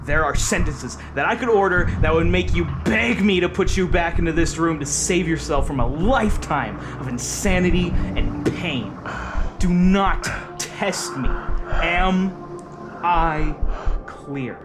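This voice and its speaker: A man playing a cold military interrogator, speaking in a deliberate, pompous and threatening manner in a scripted drama. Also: a little high, animated, music in the background. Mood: stern, threatening. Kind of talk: scripted audio drama acting.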